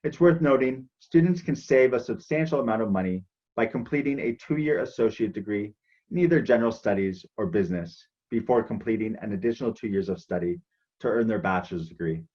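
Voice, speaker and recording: A man with a lowish voice walks through a prepared presentation at an even, measured pace. The recording sounds somewhat dull and lo-fi. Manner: measured, listing programs. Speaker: international outreach presenter. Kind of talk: scripted presentation.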